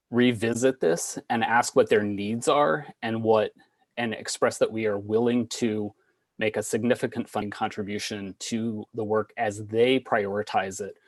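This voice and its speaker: A man with a low voice speaks at a committee meeting over a video call. The sound is close and dry. He argues his point in an earnest, deliberate way. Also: dry recording. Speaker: committee member. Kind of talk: public committee meeting discussion.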